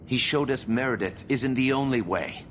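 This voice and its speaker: Game rebel mage, male actor: medium-pitched, anxious, halting, earnest pleading over clear background rumble. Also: a bit bright, close to an everyday voice. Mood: earnest urging. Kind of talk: dramatic video game dialogue.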